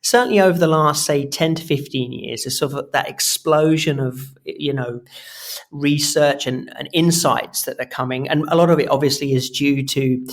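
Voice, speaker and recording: A man hosting a podcast interview in a conversational style, with halting, wordy phrasing. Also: a little high. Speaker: podcast host.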